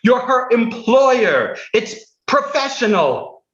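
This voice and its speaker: A man's voice: very high voice, sing-song, slow pace, a bit bright, full character voice. Man acting a controlling novelist, exasperated, lecturing, echoey room, muffled sound. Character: controlling male novelist arguing with his own character. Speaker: improv performer. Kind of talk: improvised stage comedy. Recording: dull, roomy sound.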